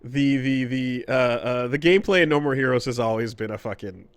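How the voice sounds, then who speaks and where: Male podcast co-host, medium register, dry studio sound, casual, measured, thinking aloud with repeats. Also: mid-range voice, clean tone, no room sound. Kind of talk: casual chat about video games.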